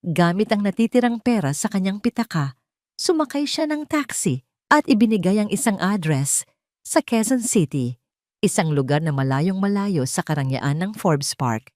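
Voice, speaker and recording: A woman narrating a scripted story in a measured, dramatic storytelling manner. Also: mid-range voice, lively intonation, a little mellow, dry recording, clean studio sound.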